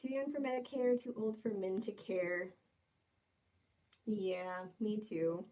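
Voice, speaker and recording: A woman's voice: on the high side, a little mellow. Playful young woman chatting on livestream. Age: 20s. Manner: playful, teasing, jokey asides. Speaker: livestream host. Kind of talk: casual livestream chat reading comments.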